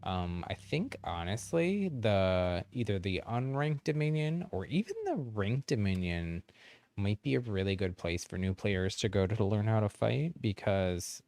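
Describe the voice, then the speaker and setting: A man's voice: lowish voice, animated, unhurried, darkish tone. A man giving casual live gameplay commentary, chatty and thinking aloud as he plays. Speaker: livestream gamer.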